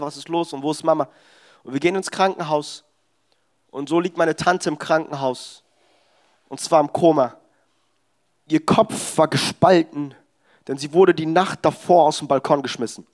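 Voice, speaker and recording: A young man preaching a sermon in a church service, exhorting the congregation with emphatic, personal testimony. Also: sits high.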